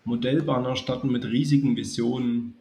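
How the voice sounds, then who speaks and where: A man with a cold, addressing his viewers in a calm, chatty way as he narrates a hobby video. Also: mid-range voice, normal speed, gravelly, slight background noise. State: has a cold. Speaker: model railway YouTuber. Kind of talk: casual spoken video narration.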